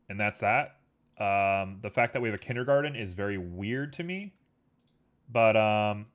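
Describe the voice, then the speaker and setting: A man chatting casually with his stream audience while he works at a computer. He talks in a relaxed, rambling way full of fillers and sounds a little sniffly. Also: medium pitch. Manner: easygoing, rambling, filler-heavy. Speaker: livestreamer. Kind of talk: casual chat while working on a 3D model.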